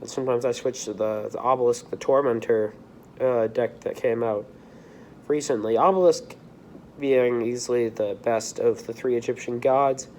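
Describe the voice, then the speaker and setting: A teenage boy chatting on his own channel, slightly hoarse and sniffly from a cold. He rambles loosely from one thing to the next, restarting his sentences often. His pitch sits in an ordinary middle range, with a faint hum of room noise behind him. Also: fairly even intonation, normal speed, darkish tone, rough recording. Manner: rambling, drifting between topics, frequent restarts. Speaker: video creator. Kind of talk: casual rambling monologue.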